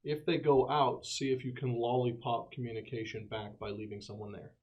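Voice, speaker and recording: A man's voice: medium pitch, flat delivery, a little fast, highs cut off. Man chatting as a tabletop game player. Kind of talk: casual game-table planning chat.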